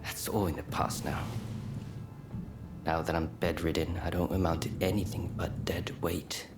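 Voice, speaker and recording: Male actor as ailing young samurai: low, breathy, courteous and frail, music underneath. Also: little pitch movement, moderate pace, lightly acted. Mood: apologetic, wistful. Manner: polite, self-deprecating, gently spoken. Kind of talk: dramatic video game acting. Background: game soundtrack music.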